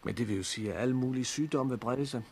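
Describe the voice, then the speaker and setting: An actor playing a practical, courteous man surviving a catastrophe in a 1960s radio drama, with measured, matter-of-fact delivery. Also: medium pitch, creaky, darkish tone, slight background noise, close to an everyday voice. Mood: grave.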